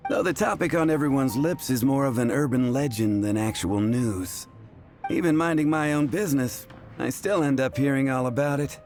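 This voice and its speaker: A man playing a chatty, easygoing tavern bartender in a video game, telling things in a relaxed, wry way. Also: medium pitch, moderate pace, faint music behind, natural voice. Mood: chatty, teasing. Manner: relaxed, wry, conversational storytelling. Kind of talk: video game character acting.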